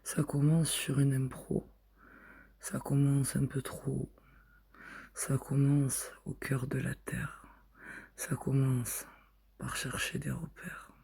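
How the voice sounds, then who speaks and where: Man, very husky and breathy, often whispered, slow measured phrases, even pitch, poetry recital. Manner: measured, in short separated phrases.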